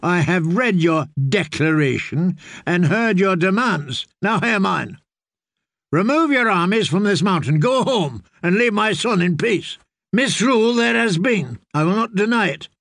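A man acts out a cunning fantasy lord for an audiobook. The voice is raised in pitch and unhurried, with a creaky edge. He speaks formally and emphatically, cold and in command. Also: expressive, no room sound, clean studio sound. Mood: forceful, defiant. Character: cunning nobleman, coldly angry, commanding, smooth in bargaining. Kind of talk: dramatic audiobook character voice.

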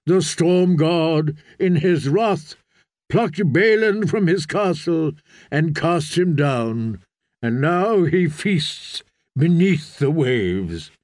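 A man's voice: fairly high pitch, animated, very slow, darkish tone, dry recording, clean studio sound. A man playing a fervent, commanding prophet priest of a sea god in a fantasy audiobook, preaching in solemn, incantatory oratory. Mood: fervent, proclaiming. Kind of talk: dramatised fantasy audiobook reading.

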